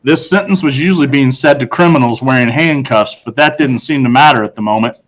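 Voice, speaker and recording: A man who is a teacher, narrating a children's book aloud with expressive storytelling. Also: medium pitch, a little fast, rough recording.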